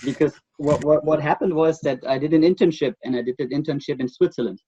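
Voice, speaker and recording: A middle-aged man with a German accent, speaking as the guest expert in a remote interview in a thoughtful, halting way with many fillers. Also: on the high side, steady tone, mellow, breathy edge, muffled recording.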